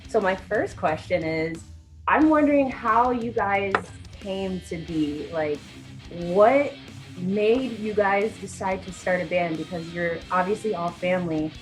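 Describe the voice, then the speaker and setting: A woman's voice: mid-range voice, animated, a little slow, soft background music, poor audio. A young adult woman interviewing a band in a casual, upbeat way, with lots of "like" and "awesome". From United States. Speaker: interviewer, rock news correspondent. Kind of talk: casual interview over a video call.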